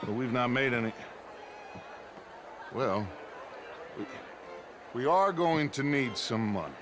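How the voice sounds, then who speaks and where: A suave American outlaw boss, speaking slowly with a lively, swinging pitch. He is courteous and guarded in a measured game-cinematic style, with noise clearly behind him. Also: a crowd in the background. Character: suave, scheming American outlaw gang leader, politely guarded. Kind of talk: video game cinematic acting.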